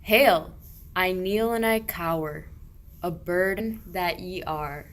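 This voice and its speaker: Woman acting a low-voiced, slow, pious shepherd. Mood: adoring. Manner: declamatory rhymed verse. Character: pious, earnest medieval shepherd. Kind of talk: amateur radio play, verse mystery play.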